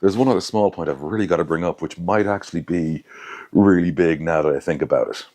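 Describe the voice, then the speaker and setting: A man's voice: low voice, brisk, a little mellow. A young man hosting his own channel, giving casual basketball analysis to camera in a rambling way, often correcting himself and adding asides. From Ireland. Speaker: basketball commentator, channel host.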